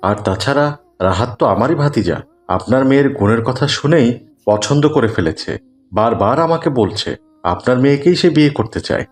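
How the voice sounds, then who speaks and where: A man's voice: mid-range voice, expressive, brisk, lightly acted. A man playing a principled uncle in a cartoon drama, polite but firm. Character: principled uncle arranging his nephew's marriage.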